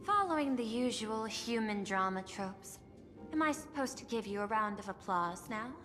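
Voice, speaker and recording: A female machine intelligence who runs a company in a video game. She negotiates in a formal, measured way, detached and coolly teasing. Her voice rings with long reverb over clear background music. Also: fairly high pitch, lively intonation, a bit bright. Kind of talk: scripted video game voice acting.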